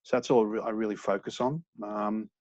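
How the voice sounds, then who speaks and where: A man introducing himself as an entrepreneur in an online meeting, chatty and relaxed with fillers, heard over dull, lo-fi call audio. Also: on the low side, a little fast, dry recording. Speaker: entrepreneur and former lecturer.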